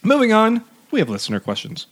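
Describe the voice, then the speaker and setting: A man's voice: high-pitched, wildly swooping pitch, fast. A man hosting a casual podcast, upbeat and promotional, chuckling now and then. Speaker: podcast host.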